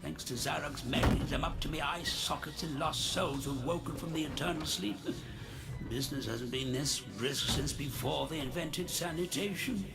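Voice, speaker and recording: A world-weary ferryman of the dead for a video game. The voice is raised a little and crackles with gravel, grand yet chummy and grumbling. Loud music runs behind it. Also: on the high side, normal speed. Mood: wry grumbling. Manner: theatrical greeting sliding into chummy grumbling. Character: chatty undead ferryman of lost souls, world-weary. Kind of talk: video game character acting.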